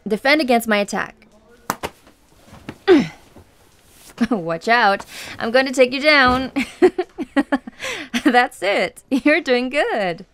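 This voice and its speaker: Woman acting a slow, creaky-voiced flirty tomboy fighter. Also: high voice, lively intonation, a bit bright, a little hiss or hum, exaggerated voice. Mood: excited, encouraging. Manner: slow, teasing, giggly, encouraging. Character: flirtatious confident tomboy MMA fighter woman, playful, seductive coach. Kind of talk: ASMR roleplay, personal address to listener.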